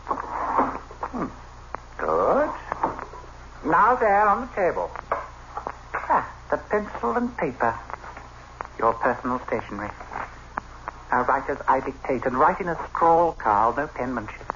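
Vengeful, theatrical husband, animated, old radio. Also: male voice, mid-range voice. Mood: menacing, controlled. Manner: theatrical, gloating, deliberate, with dark chuckles. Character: vengeful, unhinged husband coolly narrating his perfect murder. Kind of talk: old-time radio suspense acting. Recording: dull, noisy old radio recording.

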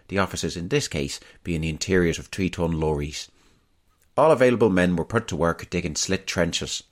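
Guy acting young ww2 british soldier reading his diary, really deep voice, thoughtful and unhurried storytelling. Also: natural voice. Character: young British army signals soldier writing a wartime diary.

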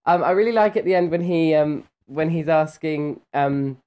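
A man's voice: on the high side, moderate pace, darkish tone, clear, resonant tone. A man chatting casually as an interview guest, rambling and self-deprecating, with a giggly manner. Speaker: interviewed voice actor.

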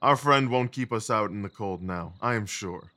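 A man playing a confident, genial Victorian gentleman detective in an audio drama, assured, wry and articulate. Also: lowish voice, moderate pace. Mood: amused, genial.

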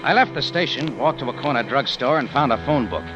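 An actor playing a tough, wisecracking American private eye in 1940s radio detective style, sardonic and slangy, both in dialogue and narration. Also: male voice, medium pitch, lively intonation, fairly quick, natural voice. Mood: narrating, dry. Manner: hard-boiled, sardonic, slangy. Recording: muffled old radio drama, music behind.

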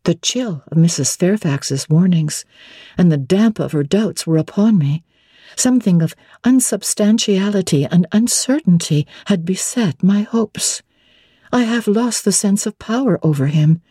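A woman narrates classic fiction with theatrical expression. Her voice is husky and mellow, moving freely in pitch as she reads. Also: lively intonation, measured pace, no room sound, clean studio sound. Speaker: audiobook narrator. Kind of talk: dramatic literary narration in first person.

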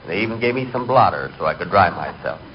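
An actor playing a nervous, hapless middle-aged man who tells his misadventures with deadpan earnestness in a 1940s radio comedy. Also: low-pitched, quick pace. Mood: earnest, deadpan. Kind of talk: 1940s radio comic monologue. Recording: dull, noisy 1940s radio recording.

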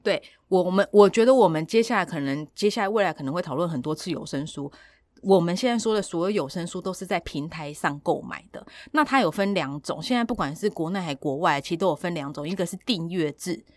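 Fast-talking, low-pitched Taiwanese woman. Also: lively intonation, no echo. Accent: Taiwanese Mandarin. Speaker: podcast host. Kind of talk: casual podcast chat.

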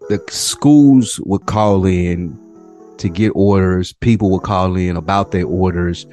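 A man's voice: low-pitched, takes it slowly, mellow, faint music behind. An American man co-hosting a podcast, chatting casually with slangy, sweary questions and teasing remarks. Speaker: podcast co-host. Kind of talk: casual chat, asking questions.

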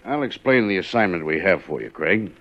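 A man's voice: low-pitched, lively intonation, fairly quick, a bit bright, faint music behind. A man playing a cautious, anxious insurance claims agent in a 1950s radio drama, speaking in a careful, officious business manner. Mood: cautious, businesslike. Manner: earnest, careful, hesitant businesslike delivery. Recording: muffled vintage 1950s radio recording.

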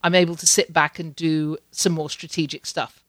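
A woman's voice: low-pitched, little pitch movement, moderate pace, fairly bright tone, dry recording. A woman hosting a casual interview, talking in a chatty way with frequent repeated words and light laughs. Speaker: podcast host. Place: home in Canada.

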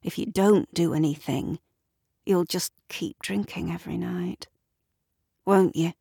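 A woman's voice: lowish voice, steady tone, unhurried, vocal fry, mellow, slightly rough, no room sound, clean studio sound, full character voice. A woman playing a desperate, self-loathing drinker who berates herself, bitter and scornful, in a dramatised story. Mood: bitter contempt. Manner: bitter, scornful, swinging into anguished wailing.

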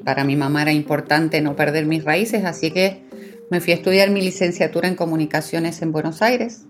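A middle-aged woman, born in Argentina and long settled in Puerto Rico, speaking conversationally as a podcast guest. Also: sits low. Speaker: podcast guest, foundation executive director. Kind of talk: conversational interview account.

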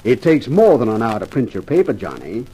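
An actor playing a shrewd, quietly menacing male police chief in a 1950s radio crime drama, dry and sarcastic. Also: mid-range voice, sing-song, brisk, husky. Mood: accusing, sarcastic. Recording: slightly noisy vintage radio recording.

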